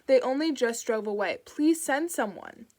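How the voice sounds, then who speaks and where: A woman's voice: fairly high pitch, big pitch swings, moderate pace, darkish tone. A woman voicing a frantic young mother who pleads on the phone, breaking into sobs and swearing. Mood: desperate.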